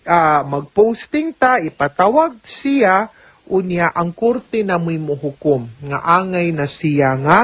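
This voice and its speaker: A woman's voice: medium pitch, husky. A woman with a Cebuano Filipino accent giving conversational, step-by-step legal advice in answer to listener questions, often adding small check-in tags. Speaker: legal adviser on a radio programme. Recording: dull, lo-fi radio audio.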